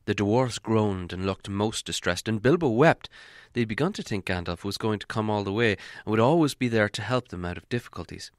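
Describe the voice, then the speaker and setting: A man narrating an audiobook in a measured storytelling way, softening on descriptive passages. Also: lowish voice, animated, no echo, studio quality. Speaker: audiobook reader.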